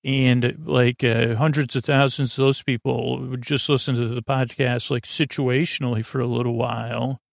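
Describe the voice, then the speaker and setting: A man hosting a bedtime podcast in a meandering, hesitant monologue, often interrupting himself, with a gravelly, creaky voice. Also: medium pitch, flat delivery, normal speed, hardly any pauses, no echo, clean studio sound. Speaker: podcast host.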